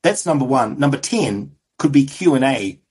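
A New Zealand man talking as a guest in a casual interview, his voice husky and a little rough. He speaks in halting runs, restarting phrases and leaning on fillers as he works through his points. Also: medium pitch, animated, a little mellow. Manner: halting, frequent restarts and "you know" fillers. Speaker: television producer, guest.

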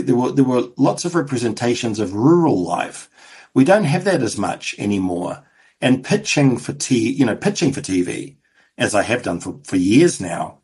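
A New Zealand man talking as a guest in a casual interview, his voice husky and a little rough. He speaks in halting runs, restarting phrases and leaning on fillers as he works through his points. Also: medium pitch, darkish tone. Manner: halting, frequent restarts and "you know" fillers. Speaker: television producer, guest.

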